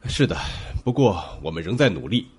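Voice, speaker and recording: An actor playing an earnest, dutiful police detective in an audio suspense drama, measured and official in manner. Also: male voice, deep voice, sing-song, a little mellow. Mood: serious, cautioning.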